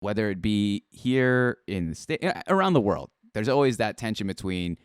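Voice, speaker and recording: An energetic young man in his twenties hosts a live-stream interview. His voice comes through smooth and resonant, recorded close and dry with no room sound. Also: fairly low pitch, moderate pace, no room sound. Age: 20s. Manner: energetic, upbeat, addresses the chat. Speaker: host.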